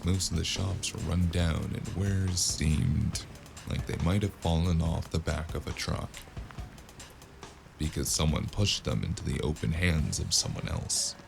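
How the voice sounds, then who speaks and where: A man's voice: rumbling low, creaky, full character voice. An actor playing a wry, world-weary cyberpunk freelancer who narrates his own noir tale in a sardonic, musing way. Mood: wry, brooding. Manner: sardonic, musing, drawn-out first-person storytelling. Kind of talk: noir audio drama narration. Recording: music behind the voice.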